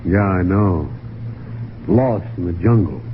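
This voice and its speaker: Through a tinny, hissy vintage radio recording comes a hard-boiled, embittered husband. His voice sits low, and he talks in short, sardonic, suspicious lines. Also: slow. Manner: clipped, sardonic, tough-talking. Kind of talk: old-time radio mystery acting.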